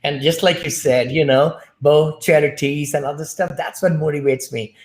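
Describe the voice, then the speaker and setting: Enthusiastic host with an echoey sound. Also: male voice, a little high, steady tone, normal speed. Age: older. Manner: enthusiastic, affirming. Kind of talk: casual chat. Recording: echoey room.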